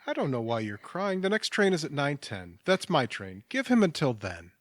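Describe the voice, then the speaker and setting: A man acting in audio theatre as a kindly, patient stranger, speaking in a gentle, reassuring manner. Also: a little high, very animated, moderate pace, dry recording, studio quality. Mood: comforting. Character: kindly, patient male stranger waiting for a train.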